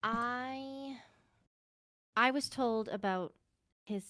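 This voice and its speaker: A woman playing an inquisitive, easily flustered court healer in an improvised game roleplay, halting as she thinks aloud. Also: on the high side, fairly bright tone, no echo, clean studio sound. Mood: hesitant, thoughtful.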